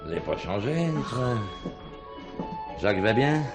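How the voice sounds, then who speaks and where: An actor playing a courteous, wry, urbane gentleman in a French radio drama. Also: mid-range voice, very animated, unhurried, loud music behind the voice. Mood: polite irony.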